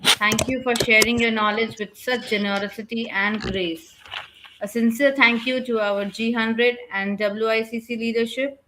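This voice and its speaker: A woman's voice: on the high side, steady tone, moderate pace, noisy background. Woman reading a formal vote of thanks. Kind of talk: read formal thank-you speech. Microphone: webinar video call.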